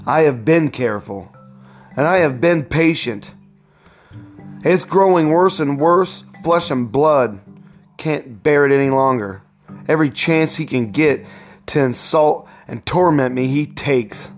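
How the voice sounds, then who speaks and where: A man's voice: a little high, measured pace, faint music behind, pitched above the performer's own voice, close to an everyday voice. Man acting a bitter, defiant young husband, emphatic and raised. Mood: bitter, fed up. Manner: emphatic, aggrieved, insistent. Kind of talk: storybook read-aloud.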